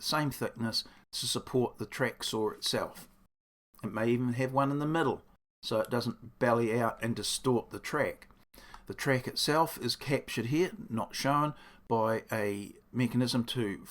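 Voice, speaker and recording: A man with a croaky voice explaining his workshop build in a casual, rambling way, correcting himself as he goes. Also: medium pitch, a bit bright. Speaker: woodworking channel host. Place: home workshop.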